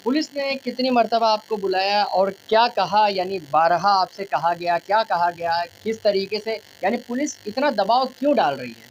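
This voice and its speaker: A woman's voice: medium pitch, moderate pace, few pauses. A woman reporting news on location in formal, wordy, repetitive phrasing. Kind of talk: news reporting, interviewing locals. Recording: noisy on-location news audio.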